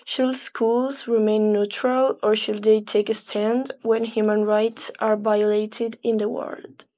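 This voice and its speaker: A woman's voice: mid-range voice, little pitch movement, slow pace, mellow. A young woman, a student, moderating a semi-scripted group discussion. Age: young adult.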